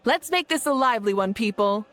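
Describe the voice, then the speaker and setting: An actress voicing a dignified woman leader of united tribes in a video game, speaking in a measured, proclaiming manner. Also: on the high side, expressive, a bit bright. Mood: warm, rousing. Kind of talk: video game voice acting, formal speech.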